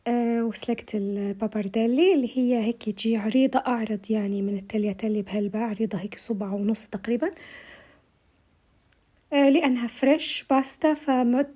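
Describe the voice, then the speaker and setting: A woman's voice: fairly high pitch, brisk, warm tone. A woman with a Libyan Arabic accent and a tired voice, chatting viewers through a recipe step by step in a casual voice-over. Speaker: cooking vlogger.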